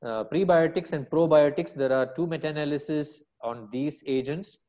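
A middle-aged man with an Indian accent explains slides as a medical lecturer, in a measured, didactic way over a webcam. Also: fairly high pitch, mellow.

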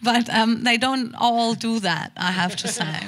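A woman's voice: a little high, unhurried, fairly bright tone. A middle-aged woman answering a question on a stage panel, thoughtful, often restarting and laughing between points. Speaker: panel guest, theatre founder. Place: stage in a large auditorium. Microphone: boom mic on stand.

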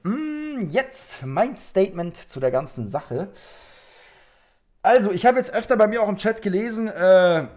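A man's voice: high-pitched, expressive, unhurried, darkish tone. A man streaming from a gaming room at home, reacting to a video in slangy, casual commentary aimed at his chat, speaking into a studio microphone. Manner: slangy, addresses the chat directly. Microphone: studio mic on an arm with headset.

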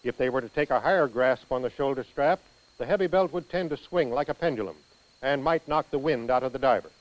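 An American man reading scripted instructional narration for a training film in a precise, measured manner. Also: medium pitch, rough recording.

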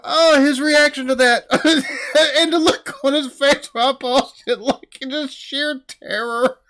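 A middle-aged American man hosting a reaction video, talking casually into a headset mic with slang and swearing, laughing loudly. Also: extremely high pitch, steady tone, takes it slowly.

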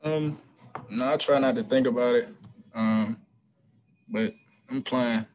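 A man's voice: mid-range voice, takes it slowly, slight background noise. Young man answering postgame questions, um-heavy. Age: young adult. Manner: relaxed, hesitant, many ums and restarts. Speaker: college basketball player. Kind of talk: postgame press conference answers. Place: small room before a team backdrop. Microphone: video call webcam.

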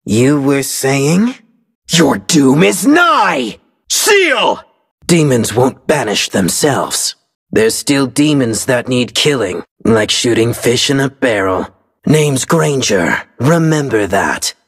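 A man's voice: medium pitch, very animated, slow, slightly rough, full character voice. A man playing a cocky, deadly gunslinger who hunts demons, dry and menacing, as video game character lines. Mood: menacing swagger.

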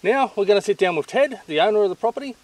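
A man's voice: sits high, lively intonation, rapid-fire, darkish tone, no echo. A middle-aged Australian man hosting a casual outdoor vlog interview in an informal, matey way. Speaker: channel host interviewing. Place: outdoors at a garden table in bushland.

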